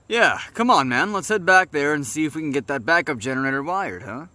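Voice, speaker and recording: An actor playing a peacemaking survivor in an audio drama, coaxing and pleading. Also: male voice, a little high, expressive, brisk, pushed and bright, no room sound. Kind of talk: scripted audio drama acting.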